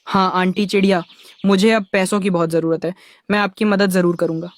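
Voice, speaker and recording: A man's voice: sits high, flat delivery, a little fast, no room sound, lightly acted. A man voicing an earnest, polite young orphan boy crow in a children's cartoon. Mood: earnest, grateful. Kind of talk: animated children's story acting.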